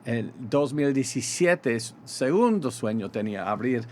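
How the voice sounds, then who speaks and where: Middle-aged man, American accent with Mexican touches, halting radio guest, faint hiss behind. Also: mid-range voice, expressive, darkish tone. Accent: American with Mexican Spanish touches. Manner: halting, many fillers and restarts. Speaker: pastor, ministry guest. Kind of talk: radio interview in Spanish.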